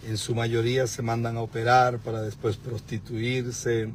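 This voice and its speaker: A man's voice: medium pitch, level tone, measured pace. A man of fifty-four preaching informally on a livestream, with an exhorting, moralizing manner. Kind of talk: informal preaching mixed with chat. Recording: noisy vehicle and outdoor background.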